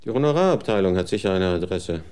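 A man's voice: low-pitched, expressive, fast, darkish tone, clear, resonant tone. An actor playing a world-weary male TV executive in a radio drama, blunt and sardonic.

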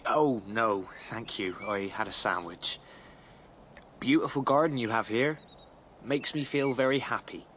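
An actor playing a polite, puzzled driver who speaks haltingly in a radio drama. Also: male voice, mid-range voice, a bit bright, a little hiss or hum, close to an everyday voice. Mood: polite, content. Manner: halting, hesitant.

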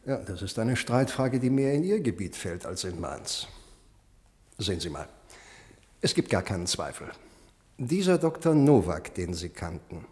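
A clinical doctor in a reverberant hall. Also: male voice, lowish voice, big pitch swings, darkish tone. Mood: matter-of-fact. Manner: explanatory, deliberate, musing aloud. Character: male doctor, clinical, fascinated, drily matter-of-fact about a body. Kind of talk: 1970s German radio play acting. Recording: long reverberant hall in radio play.

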